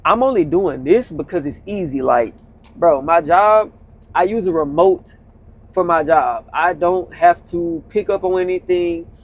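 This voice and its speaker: A man in his twenties talks loosely to his viewers from the cab of his truck, slipping into slang and repeating himself as he goes. His voice sits a little higher than most men's. The delivery is relaxed, conversational and motivational. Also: unhurried. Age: 20s. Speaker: vlogger, truck driver. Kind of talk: casual motivational talk.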